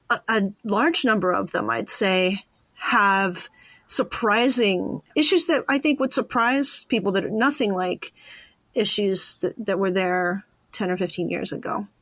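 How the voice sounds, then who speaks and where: A woman's voice: mid-range voice, a little slow, fairly bright tone, dry recording. A woman hosting a podcast, talking informally and thinking aloud in a casual, reflective conversation. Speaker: podcast host interviewing a guest.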